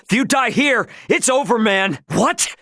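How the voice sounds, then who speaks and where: An actor playing a brash, easygoing young male starship captain in a video game, with a cocky, informal and expressive delivery. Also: extremely high pitch, measured pace, dry recording, clean studio sound, exaggerated voice. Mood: angry, exasperated. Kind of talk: video game character dialogue.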